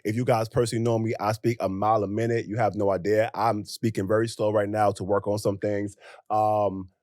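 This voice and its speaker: The low-voiced young American guy on a chatty podcast, emphatic and animated, close and dry as if across a small table from you. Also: brisk, no echo. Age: young adult. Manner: animated, emphatic, with rhetorical questions and acted-out asides. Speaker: podcast co-host. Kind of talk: casual conversational podcast chat.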